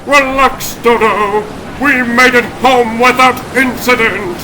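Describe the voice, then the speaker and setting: A man's voice: very high-pitched, fairly even intonation, slow pace. A man voicing an upbeat, easygoing animal superhero in a comic dub, with slight noise behind. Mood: reassuring. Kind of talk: comic book dub acting.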